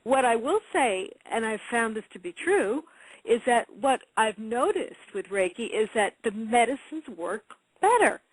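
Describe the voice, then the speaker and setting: A woman's voice: on the high side, big pitch swings, measured pace, slightly rough, dry recording, rough recording. A woman practitioner on a radio talk show, earnest and firmly stressing her points. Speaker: Reiki practitioner guest.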